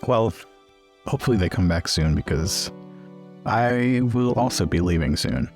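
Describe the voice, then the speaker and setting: A man playing a restless, reticent scholar with an adventurous streak, speaking haltingly in improvised roleplay over background music. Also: fairly low pitch, animated, a little mellow. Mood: thoughtful. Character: James, restless adventurous young scholar, reticent about leaving. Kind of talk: improvised in-character roleplay dialogue.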